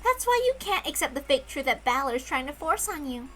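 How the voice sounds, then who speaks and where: A woman voicing a calm, aloof female witch who speaks softly and measuredly. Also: high-pitched, a little hiss or hum. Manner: soft, measured, quietly lecturing.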